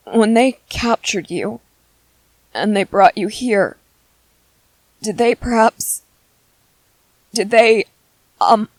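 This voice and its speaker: Woman doing a soft-spoken clever young soldier guy, asmr roleplay, slow and polite, lots of little ums, dry close sound. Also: mid-range voice, dry recording, rough recording.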